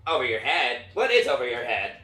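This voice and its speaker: A man's voice: medium pitch, very animated, brisk, pushed and bright. A man voicing a chipper puppet captain who teases and cheers up his puppeteer in a staged play reading. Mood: perky, teasing. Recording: roomy, distant mic, faint noise.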